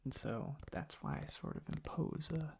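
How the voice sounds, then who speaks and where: A man softly rambling in an ASMR style, hushed and halting, drifting into whispers close to the mic. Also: fairly high pitch, gravelly, a little hiss or hum. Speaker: ASMR video creator. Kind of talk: soft-spoken ASMR ramble. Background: tapping and clicking.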